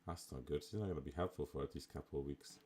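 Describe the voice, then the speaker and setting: Raspy guy streaming a game, super low voice, laid back and chatty. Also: rapid-fire. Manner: relaxed, chatty, with fillers. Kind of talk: casual live gameplay commentary.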